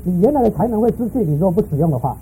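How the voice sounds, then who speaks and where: A man interpreting a sermon phrase by phrase, rendering each line quickly and eagerly. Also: high-pitched, very animated, warm tone, breathy edge, rough recording.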